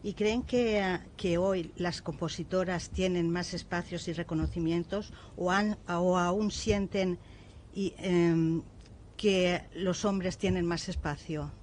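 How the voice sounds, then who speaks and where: A woman, an interviewer asking short, measured questions. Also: fairly low pitch, steady tone, a little mellow, slight background noise.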